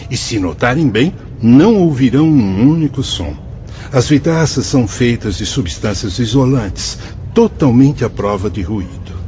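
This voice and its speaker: An actor playing a kindly priest who directs a watchtower, speaking in a warm, paternal, welcoming way in a radio drama. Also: male voice, mid-range voice, animated, measured pace, slight background noise, close to an everyday voice. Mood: guiding. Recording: dull lo-fi audio with music bed.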